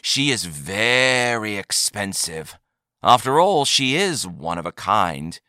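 A man voicing a sly, flattering youthful alien trader in a science fiction story, with an ingratiating, conspiratorial salesman's manner. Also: lowish voice, slow pace, no room sound, clean studio sound, heavily acted. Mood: coy bargaining. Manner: ingratiating, conspiratorial, dramatic haggling.

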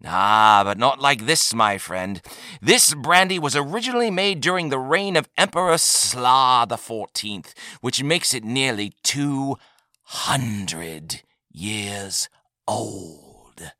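A man voicing a sly, flattering youthful alien trader in a science fiction story, with an ingratiating, conspiratorial salesman's manner. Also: mid-range voice, slow pace, no echo, studio quality, voice pushed up, exaggerated voice. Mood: boastful. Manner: ingratiating, conspiratorial, dramatic haggling.

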